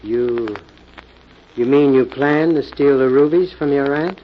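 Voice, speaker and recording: An actor playing a hard-nosed, coolly menacing American gambling club boss in a 1940s radio drama, firm and skeptical, on an old recording. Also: male voice, medium pitch, a little slow, highs cut off, a little hiss or hum, lightly acted. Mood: skeptical, probing. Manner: firm, skeptical, tough-talking.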